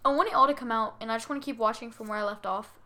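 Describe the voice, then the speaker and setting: A woman's voice: a little high, very fast, a crowd in the background. A teenage girl co-hosting a podcast in casual chat, talking with a slight stutter and laughing easily. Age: teens. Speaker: podcast co-host.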